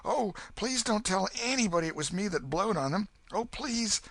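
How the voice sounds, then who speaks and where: Casting: man doing a frightened vagabond boy, high and pleading, with a lively pitch. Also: normal speed, no echo, full character voice. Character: scared ragged boy, pleading and jumpy.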